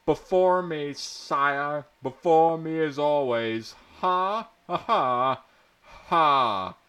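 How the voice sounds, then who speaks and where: A man's voice: fairly high pitch, very slow, pushed and bright, clear, resonant tone, pitched above the performer's own voice, full character voice. A man voicing a haughty, pompous fat prince in a fantasy story, greasy and cruel, with a declaiming manner. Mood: gloating.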